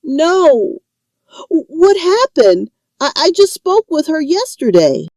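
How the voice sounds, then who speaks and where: A high, swooping voice for a woman acting a shocked daughter in an audio drama. She is distraught and stammers, drawing her words out slowly. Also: big pitch swings, darkish tone, no echo, full character voice, rough recording. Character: shocked grieving daughter answering a phone. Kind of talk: dramatised audio story dialogue.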